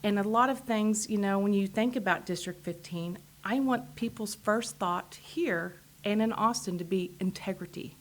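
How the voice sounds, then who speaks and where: A woman's voice: mid-range voice, moderate pace, few pauses. Texan woman running for office, earnest, keeps affirming answers. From Texas. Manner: earnest, repeats short affirmations. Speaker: political candidate. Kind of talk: interview answers.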